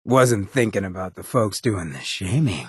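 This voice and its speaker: An actor playing a roguish, irreverent ghoul in a video game, with a raspy American voice and a wry, cocky, streetwise drawl. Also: male voice, sits low, very animated, normal speed, dry recording, clean studio sound, exaggerated voice. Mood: warm, teasing. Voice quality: raspy, gravelly. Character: roguish drug-loving irradiated ghoul, swaggering, irreverent, loyal. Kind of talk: video game companion dialogue.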